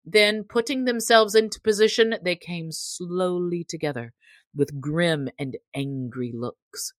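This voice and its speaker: Female storybook narrator, low register, measured and expressive, very dry recording. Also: dry recording. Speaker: audiobook narrator.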